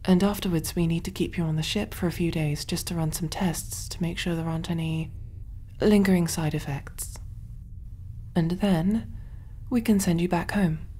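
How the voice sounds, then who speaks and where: Casting: woman as motherly alien medic for sci-fi ASMR roleplay. Low-pitched, soft and whispery, gentle, reassuring, over a noisy ambient bed. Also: fairly even intonation, moderate pace, natural voice. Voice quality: soft, breathy, often whispery. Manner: gentle, reassuring, musing aloud while working. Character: kind, motherly female alien surgeon rescuing a human.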